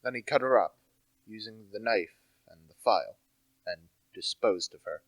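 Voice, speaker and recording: A man's voice: lowish voice, moderate pace, no room sound, close to an everyday voice, rough recording. A young man acting as an insistent, self-assured amateur detective in an amateur radio play. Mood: smug. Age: teenager to young adult. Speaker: actor.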